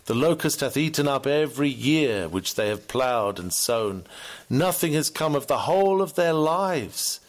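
A man's voice: mid-range voice, lively intonation, measured pace. A man reading a scripted sermon in an earnest, formal, oratorical manner. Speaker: reader of a classic sermon.

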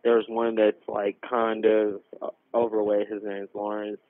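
A young man making an emergency call over a telephone line, giving a halting, informal, rambling account. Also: on the low side, completely flat delivery, no room sound. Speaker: caller reporting a robbery. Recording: tinny narrowband emergency phone line.

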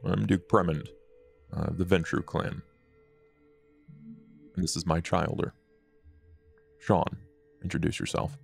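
A man's voice: sits low, steady tone, slow pace, creaky, long pauses, breathy edge, faint music behind, exaggerated voice. A man voicing a curt, commanding vampire elder in a formal manner for a tabletop game.

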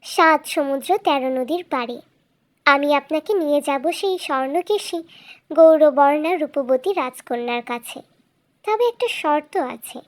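A woman's voice: sits high, moderate pace, lightly acted. A woman voicing a small, wise magical talking bird in a children's cartoon fairytale, polite, formal and assured.

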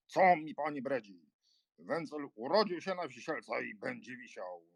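An actor playing a blustering, cynical, hot-tempered major in an audiobook drama, gruff and scornful in manner. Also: male voice, a little high, no room sound, full character voice.

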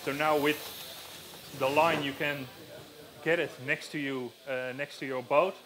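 A man's voice: a little high, fairly even intonation, unhurried, noisy background. A man in his thirties with a Dutch accent, giving a live stage demonstration in a marquee on a headset mic, explaining plainly with many fillers. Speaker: safety equipment rep. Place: large marquee at outdoor show.

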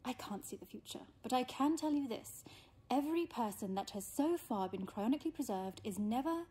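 An actress playing a blunt, dismissive expert in an audio drama, lecturing in a dry, emphatic, husky voice. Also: female voice, a little high, expressive, brisk, mellow.

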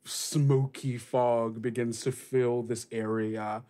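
A man running a tabletop game as game master, improvising narration and pausing as he searches for words. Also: mid-range voice, flat delivery, dark tone. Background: music.

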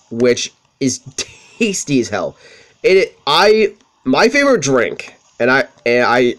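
High-voiced, profane young man rambling. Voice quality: high-pitched voice. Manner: profane, rambling, self-deprecating. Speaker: let's play commentator. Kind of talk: casual gameplay commentary with storytelling.

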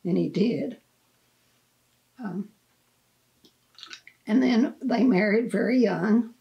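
An older woman in a documentary interview, reflecting slowly and gently on family memories. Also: medium pitch, gravelly, rough recording. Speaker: interviewee, mother-in-law. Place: indoors in leather armchair.

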